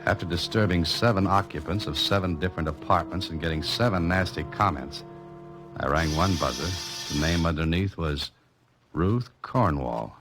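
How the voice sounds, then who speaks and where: An American actor playing a wry, cool novelist-sleuth in a 1940s radio drama, with dry, clipped delivery. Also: male voice, deep voice, normal speed, close to an everyday voice. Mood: wry, intent. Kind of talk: 1940s radio drama acting and first-person narration. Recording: old radio recording with music behind.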